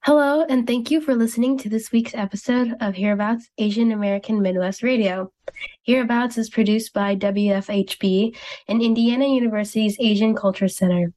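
A young woman from Thailand, hosting a student radio show. She reads a scripted intro, then asks questions casually with soft "um" fillers. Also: mid-range voice, low-quality recording. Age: young adult. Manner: friendly, with soft "um" fillers when asking questions.

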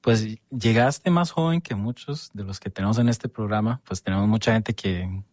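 A man's voice: lowish voice, moderate pace, darkish tone, no echo, clean studio sound. A man hosting an interview, asking long, rambling questions full of fillers. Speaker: host. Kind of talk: casual interview.

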